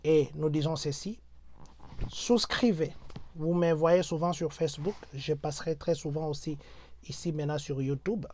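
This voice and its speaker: A man's voice: on the high side, fairly even intonation, moderate pace, dark tone. Congolese French man exhorting his viewers. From Democratic Republic of the Congo. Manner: repetitive rhetorical, mixes in Lingala. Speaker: host of a diaspora channel.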